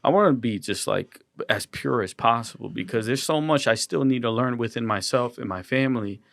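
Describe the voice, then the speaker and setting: Man, young adult, gravelly, earnest, repeats himself, casual interview. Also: mid-range voice, dry recording, clean studio sound. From Colombian and Ecuadorian heritage. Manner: earnest, halting, repeats words. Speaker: guest artist.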